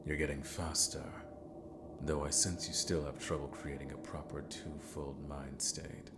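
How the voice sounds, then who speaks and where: A man playing a calm, scheming villain mentor in a scripted ASMR roleplay, courteous yet menacing, with formal, deliberate speech that often sinks to a whisper. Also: background noise, full character voice. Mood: measured, instructive. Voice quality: very deep, gravelly. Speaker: villainous mentor training an apprentice.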